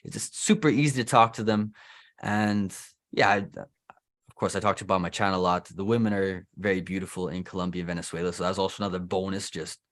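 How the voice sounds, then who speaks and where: A young man with a Canadian accent chats his way through an interview as host. His voice sits a little low. He talks loosely, restarting sentences and leaning on "like". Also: fairly even intonation, fairly quick, a bit bright. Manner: chatty, loose, heavy use of "like" and restarts. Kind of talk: casual interview chat.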